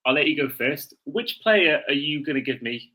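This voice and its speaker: A man chats as a podcast co-host, his voice a little higher than average and clearly bright. His delivery is informal and talkative, suited to casual sports conversation. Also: lively intonation, moderate pace.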